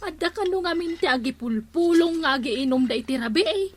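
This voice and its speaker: An actress playing a sharp, complaining village woman in a comedic radio drama, nagging and exclamatory. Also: sits high, lively intonation, fairly quick, no room sound.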